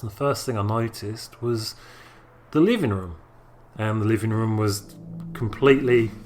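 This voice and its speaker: Male storyteller, London accent, informal and conversational, mid-pitched voice, light music bed underneath. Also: a bit bright. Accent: London English. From North London, England. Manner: conversational, uses colloquial British phrasing. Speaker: storyteller, podcast producer. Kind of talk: informal first-person storytelling.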